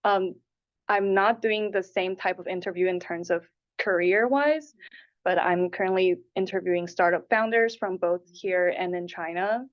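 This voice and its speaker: A woman's voice: lowish voice, moderate pace. A woman in her twenties from Taipei and Hong Kong, earnestly answering interview questions in a conversational way. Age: 20s. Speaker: interviewee, applicant. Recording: dull, lo-fi audio.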